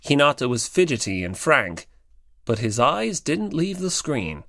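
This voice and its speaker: A man's voice: medium pitch, very animated, unhurried, gravelly, a bit bright, no room sound. A man reading scripted story narration as an audiobook narrator.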